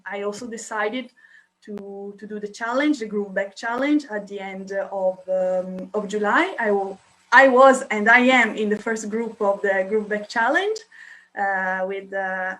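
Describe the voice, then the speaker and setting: A young woman with an Italian accent, a podcast guest talking casually and hesitantly with many filled pauses. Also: mid-range voice, a bit bright, rough recording. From Italy. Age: young adult. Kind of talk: casual interview talk.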